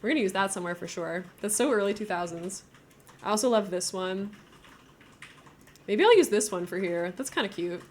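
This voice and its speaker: A woman's voice: mid-range voice, expressive, brisk, long pauses. Chatty, giggly woman live-streaming a game. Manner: chatty, thinking aloud, giggly. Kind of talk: casual live-stream chat while building in a game.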